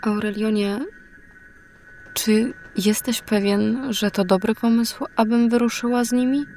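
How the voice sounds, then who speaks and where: A woman playing an uncertain, earnest warrior woman without her memories in a fantasy audio drama, soft-spoken and hesitant. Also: a little high, steady tone, a little slow, a little hiss or hum. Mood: anxious.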